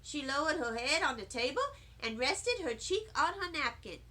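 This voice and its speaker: A woman giving an expressive, animated and deliberate narration of a children's audiobook. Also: high-pitched. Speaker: storybook reader.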